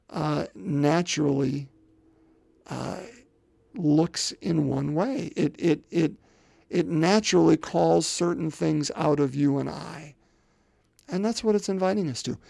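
A man in his fifties gives a sermon, with heavy gravel in his fairly high voice. He speaks conversationally, often repeats words and keeps asking the congregation rhetorical questions. The recording is close and dry. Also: takes it slowly, pauses often, darkish tone, no room sound. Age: 50s. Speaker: pastor.

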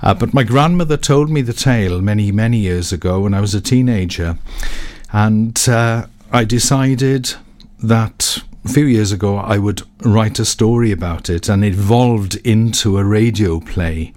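A man speaking unscripted as a studio interview guest, explaining a story in a measured, halting way. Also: lowish voice, a little mellow. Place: radio studio.

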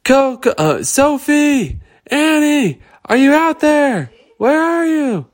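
A man squeaking as frightened little girls shrieking for help. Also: big pitch swings, takes it slowly, exaggerated voice. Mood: panicked.

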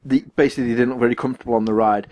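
A man's voice: mid-range voice, fairly even intonation, very fast, darkish tone. A man with a northern English accent, co-hosting a casual podcast and teasing his partner sarcastically. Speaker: podcast co-host. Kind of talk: casual chat.

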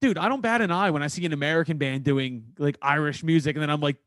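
A man's voice: fairly high pitch, fast, a bit bright, no echo, studio quality. A man hosting a podcast in casual, profane, slangy chat. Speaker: podcast host.

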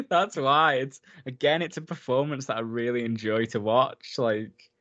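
A man's voice: mid-range voice, measured pace, fairly bright tone. Chatty man, slightly dull recording. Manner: enthusiastic, chatty, laughs often. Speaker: podcast co-host. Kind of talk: casual film recap chat.

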